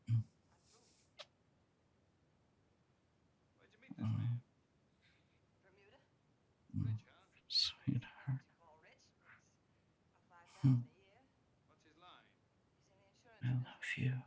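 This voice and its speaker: A man playing a lovesick, earnest young punk roommate in an intimate ASMR romance roleplay, speaking in a halting way. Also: medium pitch, steady tone, creaky, husky, heavily acted. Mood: tender, pleading.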